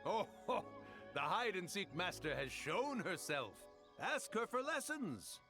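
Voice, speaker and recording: A man's voice: sits high, very animated, a little slow. A man playing a proud, grumpy old tanuki spirit who guards a forest, pompous and theatrical, in an anime video game. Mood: teasing. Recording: faint music behind the voice.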